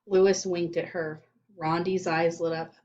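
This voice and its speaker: A woman reading a children's storybook aloud in an expressive narrating style. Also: lowish voice, unhurried. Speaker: storybook reader. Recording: slightly dull, lo-fi sound.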